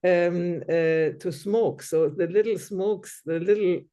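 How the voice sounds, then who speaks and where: A woman with a soft, mellow tone, German being her first language, talks in a relaxed group conversation. She speaks hesitantly, restarting sentences and hunting for the right words. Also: on the low side, slow pace. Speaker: discussion group member. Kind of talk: casual conversation.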